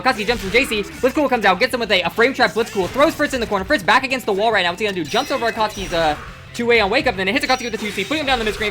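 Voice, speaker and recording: Woman commentator, breakneck casual play-by-play, filler-heavy, game music underneath. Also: on the high side. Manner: rapid excitable play-by-play, many "like" and "uh" fillers. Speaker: tournament commentator. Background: game music playing.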